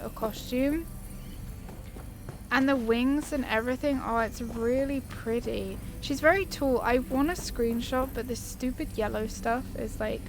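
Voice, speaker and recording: A woman giving casual, enthusiastic gameplay commentary, chatting informally and easily sidetracked. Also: on the high side. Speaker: gaming video creator. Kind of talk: casual chatty gameplay commentary, reading game dialogue options aloud.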